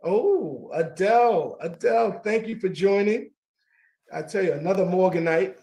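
A middle-aged man hosting a casual talk radio show, warm and laughing easily, often repeating his words. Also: sits high, animated, normal speed.

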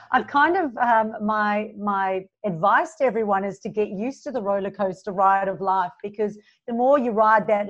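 A bubbly woman from South Africa, her accent blended with Australian, chats as an interview guest and laughs between phrases. Her voice sits at a middle pitch. The recording is a little dull and lo-fi. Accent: South African, mixed with Australian. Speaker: guest, business coach. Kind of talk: casual interview chat. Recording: slightly dull lo-fi sound.

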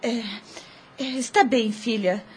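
A woman's voice: on the high side, unhurried, husky. An actress playing an anxious, protective, scolding servant woman in a radio soap opera, urgent and agitated. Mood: flustered, placating.

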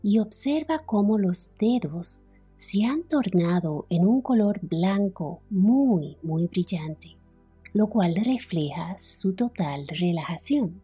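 A woman leading a guided relaxation as a meditation instructor, soothing and unhurried, with long pauses between phrases. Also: mid-range voice, lively intonation, warm tone, breathy edge, faint music behind. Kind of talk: guided relaxation, yoga nidra narration.

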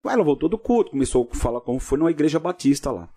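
A man's voice: on the high side, big pitch swings, very fast, mellow. A middle-aged man with a São Paulo state Brazilian accent, telling stories informally as an interview guest, often adding little tag questions. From Cubatão, São Paulo coast, Brazil. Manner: peppers talk with tag questions. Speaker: pastor, podcast guest.

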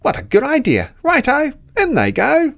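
A boastful storybook dingo played by a narrator, pitched well above an everyday voice. He is gleeful and excitable, swooping through his exclamations and breaking into singsong chants. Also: moderate pace, gravelly, heavily acted. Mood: eager, gleeful.

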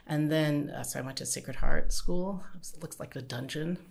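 A middle-aged Canadian woman, an interview guest, telling her family's migration story in a relaxed, rambling way with frequent laughs. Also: low-pitched, normal speed, creaky. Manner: rambling, chatty, laughs often.

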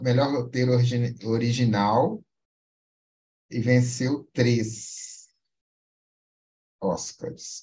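A man hosting a quiz on a casual podcast, playful and teasing, reading out film credits in halting bits. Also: medium pitch, warm tone.

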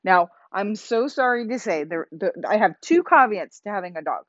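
Woman, podcast host, loud emphatic voice, rambling casual monologue, muffled lo-fi audio. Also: mid-range voice, animated, moderate pace. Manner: chatty and emphatic, with many restarts.

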